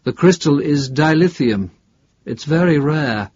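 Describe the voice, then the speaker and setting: A man voicing an older, guarded male chemistry professor in an audiobook, with a halting, deliberate, emphatic delivery. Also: fairly high pitch, animated, a little mellow, husky, highs cut off, pitched above the performer's own voice. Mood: intrigued. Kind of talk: audiobook character dialogue.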